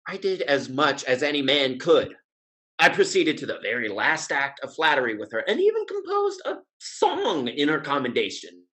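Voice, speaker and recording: A man playing a witty, urbane gentleman playboy in a Restoration comedy, speaking wry, elaborate period phrases in an articulate way. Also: fairly high pitch, huge pitch swings, normal speed. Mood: wry, exasperated. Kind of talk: Restoration comedy play reading.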